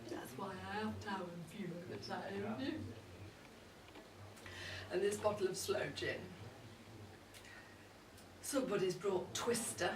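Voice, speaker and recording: A woman's voice: mid-range voice, a little slow, pauses often, natural voice. Cheeky older woman, acted out by a woman, distant and roomy. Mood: wry, exasperated. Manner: wry, bawdy, confiding, chatty. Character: cheeky, boozy older mother-in-law gossiping at Christmas. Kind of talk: comic dramatic monologue read to a live audience. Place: indoor live event at a pub. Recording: roomy, distant live recording with audience noise. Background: audience crowd.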